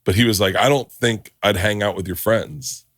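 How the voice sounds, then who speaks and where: A man's voice: on the low side, normal speed, no echo, studio quality. A middle-aged man from Florida hosting a podcast, telling stories in casual chat, acting out quotes and laughing often. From Tampa, Florida. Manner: animated storyteller, acts out quotes, laughs often. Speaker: podcast host.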